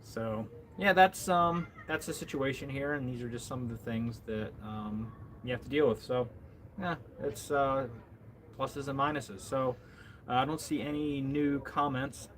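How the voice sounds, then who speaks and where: An American man of middle pitch hosts a relaxed live stream. He talks in a loose, rambling way full of fillers and restarts. A faint background ambience sits under his voice. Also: measured pace, a little hiss or hum. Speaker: livestream host. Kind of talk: casual live chat.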